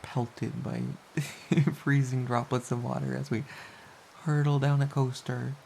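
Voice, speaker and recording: A woman playing a playful, affectionate, teasing partner in an intimate audio roleplay of soft pillow talk. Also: extremely low pitch, very animated, slow, vocal fry, exaggerated voice. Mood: soft, apologetic. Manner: teasing, giggly, mock-pouty. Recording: faint background hiss.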